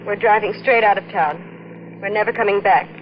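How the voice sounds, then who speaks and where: Actress for tearful, pleading wife, mid-pitched, muffled vintage radio sound. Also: female voice, a little fast, a little hiss or hum, lightly acted. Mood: resolved. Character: frightened, guilt-ridden wife. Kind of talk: 1950s radio drama acting. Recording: dull old radio recording.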